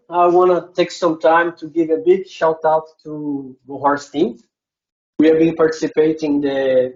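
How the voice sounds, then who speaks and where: A man's voice: a little high, a little mellow, dull audio. A man from Brazil with a Brazilian Portuguese accent, a non-native English speaker, giving a conference presentation with many hesitant filler sounds.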